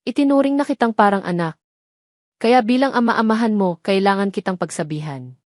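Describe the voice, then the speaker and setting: A woman's voice: mid-range voice, expressive, a little fast, darkish tone, no echo, clean studio sound, lightly acted. Woman narrator as a grave older police general. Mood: grave warning. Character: older male police general, fatherly turning cold and stern.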